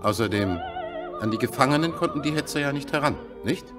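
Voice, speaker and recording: An actor in a German radio drama playing a deferential French government envoy, discreet and confiding. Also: male voice, mid-range voice, animated, fairly quick, over music, natural voice. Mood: confiding, reassuring. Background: opera performance.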